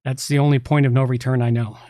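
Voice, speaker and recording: A man's voice: medium pitch, level tone, fast talker, warm tone, no echo, clean studio sound. A man hosting a tech podcast, talking casually and explaining things with emphatic repetition. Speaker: podcast host, website repair specialist.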